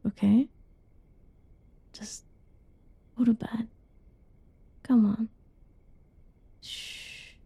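A young woman whispering softly in a teasing, cuddly ASMR roleplay, with giggles between lines. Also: medium pitch, creaky, slightly rough, dry recording, studio quality. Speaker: roleplay narrator.

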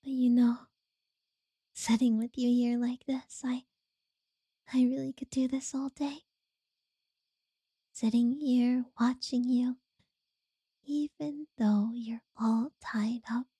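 A woman voicing an obsessive, possessive captor in a whispered audio roleplay, slow and unsettlingly sweet. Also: a little high, steady tone, no echo, clean studio sound, exaggerated voice. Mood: creepy tender. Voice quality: soft breathy whisper.

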